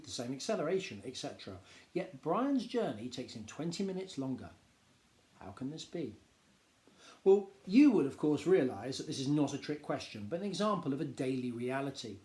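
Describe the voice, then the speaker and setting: A man reading a popular non-fiction book aloud like a teacher, explaining in a measured, expressive way. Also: on the high side. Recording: echoey, roomy sound.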